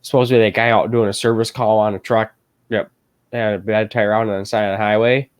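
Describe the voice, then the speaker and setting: Young man, fairly low voice, student guest, laid-back, casual storytelling. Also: flat delivery, quick pace, clear, resonant tone. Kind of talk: casual livestream chat.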